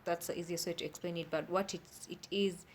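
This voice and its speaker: A woman's voice: fairly low pitch, steady tone, a little fast, slight background noise. A woman speaking as an expert interview guest, explaining things plainly step by step and checking that she is understood.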